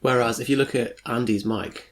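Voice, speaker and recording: A man's voice: mid-range voice, steady tone, normal speed. A man co-hosting a podcast, cutting in with brief, dry remarks. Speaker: podcast co-host.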